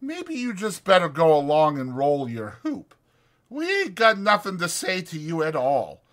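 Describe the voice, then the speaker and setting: High, blustering old ranch hand. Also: male voice, huge pitch swings, unhurried, a bit bright, heavily acted. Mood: controlled, dismissive. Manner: blustering, folksy rural American. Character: cranky, defiant old male ranch hand.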